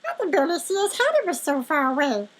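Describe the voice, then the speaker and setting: A woman voicing an elderly male stuffed rabbit, a chatty, excitable storybook adventurer who tells his tale with exclamations. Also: high-pitched, fast talker. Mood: excited, bewildered.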